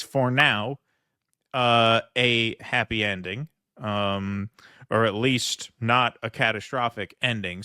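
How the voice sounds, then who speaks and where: A man's voice: medium pitch, sharp tone, clear, resonant tone, no echo, clean studio sound. A man hosting a political commentary show, reading news reports aloud and commenting with frequent fillers.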